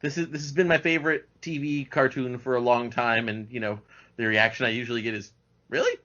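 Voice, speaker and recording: A man's voice: mid-range voice, steady tone, fairly quick, fairly bright tone. A man appearing as a podcast guest, talking casually about cartoons in dull, lo-fi audio.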